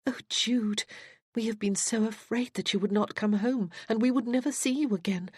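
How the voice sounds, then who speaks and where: A woman's voice: a little high, a little fast, warm tone, slightly rough, no room sound, studio quality, voice pushed up. A woman doing a tearful, earnest young woman. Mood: pleading.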